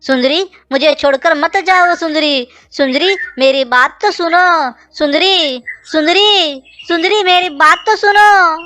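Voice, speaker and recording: A woman's voice: extremely high pitch, unhurried, few pauses, bright, forceful tone, no echo, full character voice. A woman voicing a hapless, henpecked male crow husband in a children's cartoon, pleading and melodramatic. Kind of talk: children's cartoon story dialogue.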